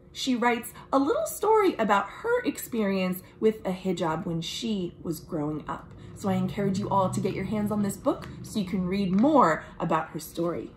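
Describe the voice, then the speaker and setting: A young American woman reads a picture book aloud to children, expressive and deliberate, with friendly asides like a storytime librarian. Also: mid-range voice, low-quality recording. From United States. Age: young adult. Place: small room at home.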